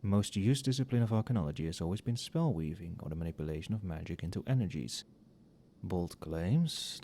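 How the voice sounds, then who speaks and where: Guy playing a tired wry magic professor, soft low-ish muttering, asmr roleplay, bit of noise behind him. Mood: muttering, critical. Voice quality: soft-spoken. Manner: casual, muttering, dryly sardonic. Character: wry, overworked male magic professor grading papers late at night.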